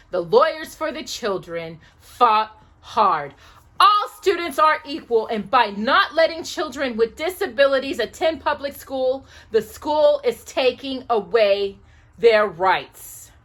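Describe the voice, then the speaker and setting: Very animated, high-voiced woman reading aloud. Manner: emphatic, dramatic pauses and stresses on key words. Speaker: storytime host reading a children's book aloud. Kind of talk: animated children's read-aloud with asides to a listener.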